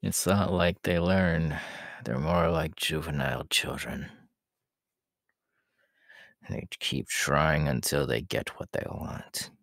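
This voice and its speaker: A man playing a proud, menacing, world-weary demon king in an ASMR roleplay, talking in a deliberate, haughty way that often sinks into breathy whispers. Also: very deep voice, fairly even intonation, a bit bright, no echo, clean studio sound, exaggerated voice. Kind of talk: ASMR audio roleplay acting.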